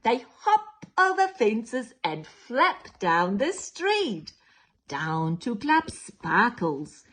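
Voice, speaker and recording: Slow, very animated woman reading a picture book. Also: a little high. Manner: playful, theatrical, leaning into dramatic whispers and drawn-out words. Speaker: children's storytime reader.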